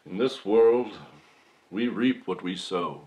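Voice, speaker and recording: A man playing a folksy, shameless accused man who gives a mock-solemn, melodramatic courtroom monologue with long dramatic pauses, for comedy. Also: fairly low pitch, darkish tone, poor audio. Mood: wounded, solemn. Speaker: podcast performer.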